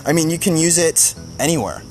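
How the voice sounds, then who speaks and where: A man's voice: fairly high pitch, animated, brisk, soft background music. A man chatting casually and jokingly as a podcast co-host. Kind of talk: casual comedic chat.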